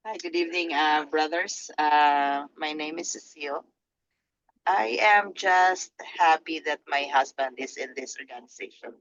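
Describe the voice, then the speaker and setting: Soft-spoken woman on a muffled call. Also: low voice, measured pace. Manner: soft, hesitant, with many fillers. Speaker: guest's wife. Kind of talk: casual chat. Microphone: phone call. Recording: dull lo-fi call audio.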